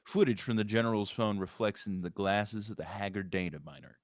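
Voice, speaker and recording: A man with a lowish voice narrates a screenplay. He reads the stage directions as scripted prose, recorded very dry with no room sound. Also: a little fast, no room sound.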